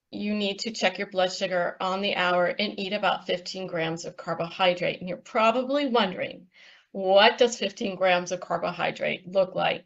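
A woman on a panel, explaining nutrition slides in a steady, instructive way with frequent ums. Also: medium pitch, creaky, lo-fi sound. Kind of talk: presenting slides in a panel talk.

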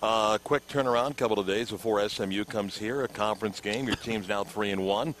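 A man's voice: fairly low pitch, a bit bright, low-quality recording. Man with headset interviewing courtside. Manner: measured, reads stats then asks questions. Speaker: postgame sideline interviewer. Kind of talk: postgame broadcast interview. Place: courtside table in an indoor arena. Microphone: headset mic.

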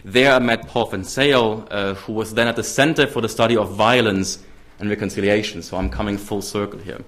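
A man in his thirties with a German accent, giving a formal keynote lecture at a podium microphone in a large hall, measured with frequent ums. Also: lowish voice, steady tone, a bit bright. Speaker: keynote speaker. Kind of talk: academic lecture.

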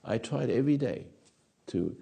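A man in his eighties giving a rambling philosophical talk to an audience on a lapel mic, halting and repeating his words. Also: medium pitch, animated, vocal fry, dark tone, husky. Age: 80s. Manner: gestures with hands while talking. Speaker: speaker answering audience. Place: dark stage or studio.

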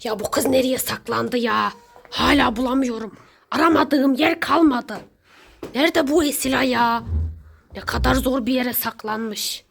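A woman playing a sneaky, hungry neighbor villain in a children's pretend-play skit, muttering theatrically to themselves with drawn-out complaints. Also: sits high, vocal fry, slight background noise. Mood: puzzled, searching. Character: sneaky, hungry Hello Neighbor villain, seeker and snack thief. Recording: loud music over the voice.

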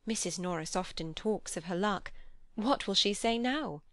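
A woman reading an audiobook, voicing a vivacious, teasing young society lady with animated, exclamatory, inquisitive speech. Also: mid-range voice, normal speed, no room sound, studio quality, close to an everyday voice. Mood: delighted, eager. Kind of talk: audiobook character dialogue.

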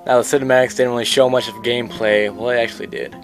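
A man's voice: mid-range voice, flat delivery, fast talker, soft background music. A man giving casual, informal and opinionated commentary as the host of a video game countdown.

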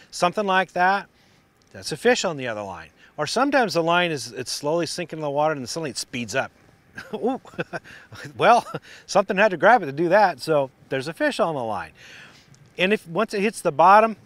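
A middle-aged man giving a relaxed, practical fishing lesson on a lakeshore, recorded on a lapel mic. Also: a little high, no echo. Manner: relaxed, practical explaining with restarts. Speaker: fishing instructor. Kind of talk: outdoor how-to demonstration. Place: outdoors on a lakeshore.

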